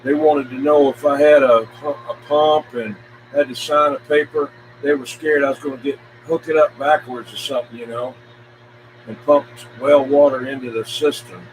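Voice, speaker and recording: A man's voice: mid-range voice, fairly even intonation, moderate pace. A man chatting casually as host of a CB radio show, folksy and full of radio lingo. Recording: background noise.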